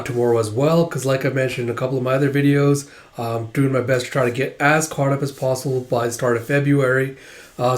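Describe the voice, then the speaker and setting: A man with a hoarse voice from a cold, hosting a manga read-through channel and chatting casually, rambling with many fillers. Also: mid-range voice. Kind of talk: casual chat to camera. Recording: roomy echo.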